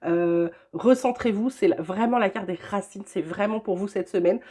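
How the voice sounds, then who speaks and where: A woman's voice: medium pitch, moderate pace, dark tone, breathy edge. Chatty older woman with a cold. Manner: chatty, reassuring, many hesitations. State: has a cold. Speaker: tarot reader. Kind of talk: weekly tarot guidance. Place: at home.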